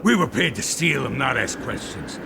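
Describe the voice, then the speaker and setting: An actor playing a blustering, panicky mob henchman in a video game, with an American accent and rough street talk. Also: medium pitch, sing-song, moderate pace, noisy background. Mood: pained, cornered.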